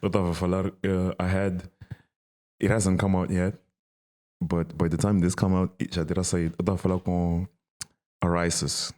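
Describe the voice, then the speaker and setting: Deep-voiced young man chatting. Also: level tone, moderate pace, pauses often, darkish tone. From Mozambique. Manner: informal and slangy. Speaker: podcast host. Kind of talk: casual chat, switching between languages.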